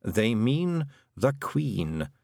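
Guy doing a talking toad, slow and matter-of-fact, storybook animal explaining stuff. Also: lowish voice, very animated, gravelly, darkish tone, no room sound, clean studio sound, exaggerated voice.

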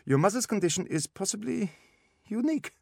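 A pompous German specialist, pitched up and clipped, dismissing relatives in a storybook sickroom corridor. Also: male voice, lively intonation, a little fast, vocal fry, darkish tone, slightly rough, no room sound, clean studio sound, heavily acted. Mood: irritated, brisk. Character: sharp, impatient, haughty German male doctor.

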